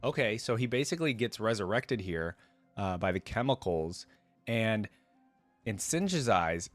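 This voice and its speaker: A man's voice: fairly low pitch, lively intonation, a little slow, dry recording, soft background music. A man hosting a reaction video, reading stories aloud and commenting on them in a casual, conversational way.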